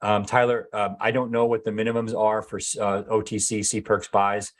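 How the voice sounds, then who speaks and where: Hoarse man answering viewer questions. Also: fairly low pitch, flat delivery, normal speed. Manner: hesitant, many fillers and restarts. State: losing his voice. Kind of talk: casual live Q&A.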